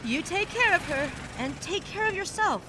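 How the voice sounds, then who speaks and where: A very high, animated young woman's voice from an actress plays a spirited 1920s socialite in an audio drama. She is theatrical and effusive, with wistfulness under the banter. City traffic is heard behind her. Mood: teasing, brisk. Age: young adult. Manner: effusive, theatrical, halting when emotional. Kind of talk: period audio drama acting.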